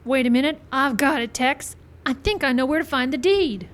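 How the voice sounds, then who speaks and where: A woman playing a sharp, confident Wild West sleuth in an old-time radio mystery style, performed live. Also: sits high, normal speed, lightly acted. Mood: excited discovery. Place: live show in a park. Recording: live outdoor stage, some background noise.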